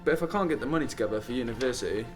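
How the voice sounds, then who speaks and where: A man's voice: medium pitch, animated, rapid-fire, lightly acted. Guy as a teen lad, polite but informal, mid voice, bit of music under. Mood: hesitant. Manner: informal, hesitant. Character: polite, down-to-earth working-class teenage boy. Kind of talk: radio drama acting.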